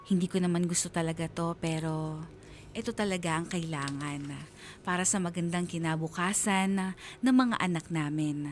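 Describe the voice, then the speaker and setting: Casting: performer as heavy-hearted husband narrator, worried and wounded, Filipino radio letter drama, music bed. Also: male voice, high-pitched, close to an everyday voice.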